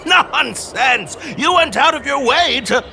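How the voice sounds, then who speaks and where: An actor playing a pompous, earnest comic performer in a video game, speaking in a grandiloquent, exaggerated manner. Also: male voice, extremely high pitch, animated, slow, creaky, sharp tone, a little hiss or hum, exaggerated voice. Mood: effusive gratitude. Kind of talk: animated video game voice acting.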